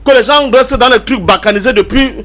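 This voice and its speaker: A man's voice: extremely high pitch, fast talker, pushed and bright. A man from Cameroon with a Cameroonian accent giving political commentary as a debate panelist, emphatic, repeating key phrases and asking rhetorical questions. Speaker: panelist in a pan-African debate.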